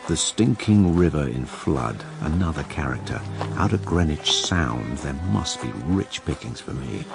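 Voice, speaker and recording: A man playing a sardonic writer narrating his plague journal in a radio drama, dry, musing and gravelly. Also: sing-song, measured pace, music in the background, full character voice. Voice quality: deep, gravelly.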